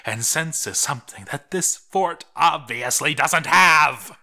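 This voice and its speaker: Give the story a doomed male dwarf played by a man, bitter and sardonic, slowly losing his mind. He delivers his journal dramatically in a forceful, bright voice, cursing as he goes. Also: on the high side, animated, voice pushed up, exaggerated voice. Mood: hysterical despair. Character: doomed male dwarf expedition diarist, bitter, cracking into madness.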